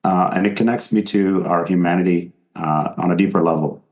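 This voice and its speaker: A man from Egypt with a very deep voice answers interview questions. He speaks slowly and thoughtfully, pausing on "um" as he gathers his words. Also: fairly even intonation, a little mellow. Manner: thoughtful, hesitant, many fillers. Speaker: physician guest. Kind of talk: podcast interview.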